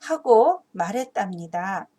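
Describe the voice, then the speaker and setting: A woman narrating a children's Bible story in a deliberate, expressive storybook style, like a teacher reading to kids. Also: mid-range voice.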